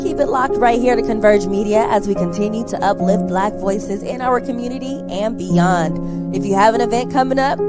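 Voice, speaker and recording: A woman reading a scripted outro and thank-yous in a polished, promotional way. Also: fairly high pitch. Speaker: show announcer. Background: guitar music.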